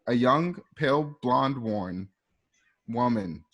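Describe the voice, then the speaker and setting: A man's voice: mid-range voice, animated, takes it slowly, fairly bright tone, highs cut off. A man narrating a radio drama, reading out scene directions step by step in the present tense.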